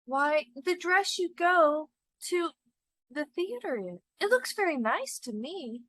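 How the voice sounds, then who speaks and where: An eager, modest clerk of a husband in a classic short story, acted out by a woman reader. The voice is high and bright, exclaiming and anxious to please, with slow, emphatic delivery. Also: animated, dry recording, exaggerated voice. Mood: flustered.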